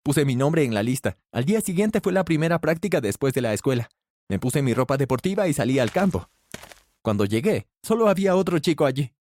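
Lively, higher-voiced male storyteller. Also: a little fast, no echo. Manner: animated storytelling, shifts pitch for characters' lines. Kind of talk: first-person scripted story narration.

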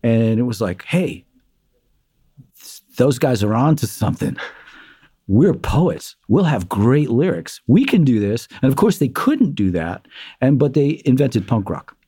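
A man, a musician being interviewed, telling animated stories and acting out what others said, with many fillers. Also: medium pitch, unhurried, husky, no echo, studio quality. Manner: animated storyteller, acts out quotes, many fillers. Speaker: guest, musician. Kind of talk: conversational interview storytelling.

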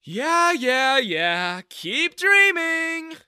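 A haughty bank manager who mocks and sneers at everyone, as a man puts him on. The voice sits high and pushed, with a bright, sharp edge. The recording is dry and close. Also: sing-song, drawn-out words, no room sound, heavily acted. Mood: sneering. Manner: mocking, scornful.

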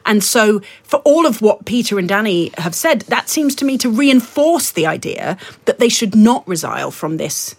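A woman's voice: mid-range voice, sing-song, moderate pace, few pauses, a little mellow. A woman guest on a political discussion podcast, explaining her points at length in an analytical way. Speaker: guest commentator.

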